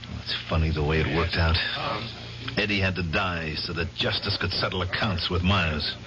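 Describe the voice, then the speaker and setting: Man voicing a firm, low-voiced veteran tracking a killer, dull old radio sound with music. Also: normal speed, crowd noise, close to an everyday voice. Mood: determined. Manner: firm, urgent, clipped. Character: determined war veteran husband hunting a killer. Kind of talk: 1970s radio drama acting. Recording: dull 1970s radio broadcast, music behind.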